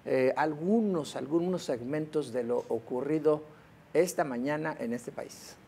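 A man's voice: a little high, a little slow, vocal fry, warm tone, slight background noise. A Mexican man commenting on the news in a panel, conversational, with frequent hesitant fillers.

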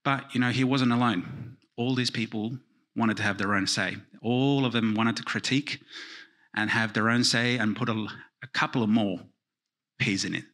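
A man in his thirties from Finland giving a joking conference keynote in a large lecture hall, speaking into a handheld microphone and dropping into quiet asides. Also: on the low side, steady tone, moderate pace. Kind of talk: conference talk with jokes.

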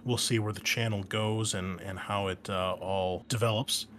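A man with a fairly low voice hosts a gaming podcast in a casual, explanatory way. He talks as a game master introducing his show, with a faint layer of noise behind him. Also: fairly even intonation, moderate pace, fairly bright tone. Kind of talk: casual scripted-outline introduction to a gaming channel.

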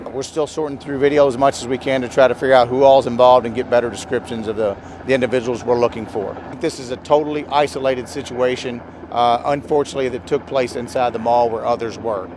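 A police chief gives a matter-of-fact briefing outdoors, talking quickly. Loud outdoor and traffic noise sits behind his voice. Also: mid-range voice, fairly even intonation. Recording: outdoor noise, somewhat off mic.

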